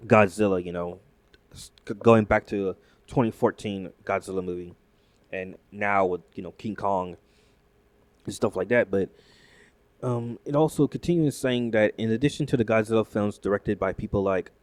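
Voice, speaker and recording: Excitable rambling man, lowish voice. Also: normal speed, dry recording. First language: not Japanese. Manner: rambling, excitable, many fillers and restarts. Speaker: YouTube commentator. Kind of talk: casual reaction commentary reading news aloud.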